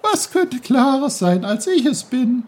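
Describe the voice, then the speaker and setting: Guy doing a chatty giggly brook, high and bright, loud and lively. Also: measured pace, full character voice. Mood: indignant. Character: chattering cheerful male brook, touchy.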